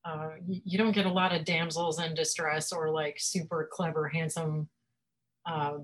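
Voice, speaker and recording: Interview guest: woman, lowish pitch, casual, quick to laugh, self-deprecating. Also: level tone, a little hiss or hum, low-quality recording. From Florida. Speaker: guest author and publisher.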